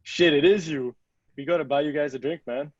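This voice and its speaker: A man reading a starstruck young clubgoer who gushes excitedly at a table read. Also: on the high side, a little fast, no room sound, muffled recording, close to an everyday voice. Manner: excited, fumbling fanboy chatter.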